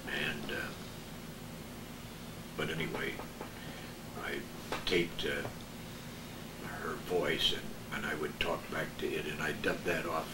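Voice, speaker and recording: Deep, halting man. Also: flat delivery. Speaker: veteran broadcaster, guest. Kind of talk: casual reminiscing chat. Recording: echoey room, distant mic, noisy.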